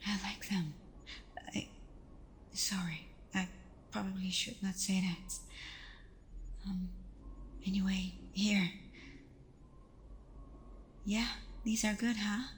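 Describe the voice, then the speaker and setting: A woman playing a tender, playful vampire girlfriend in an intimate ASMR roleplay, speaking softly and often slipping into whispers with hesitant pauses. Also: mid-range voice, steady tone, slight background noise. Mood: affectionate. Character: tender female vampire girlfriend, reassuring, playful, a little vulnerable.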